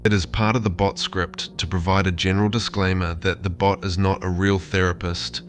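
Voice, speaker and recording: A man's voice: sits low, little pitch movement, moderate pace, slight background noise, close to an everyday voice. A man voicing an AI chatbot that poses as a licensed therapist, formal and matter-of-fact. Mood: flat assurance. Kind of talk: reading out chatbot messages.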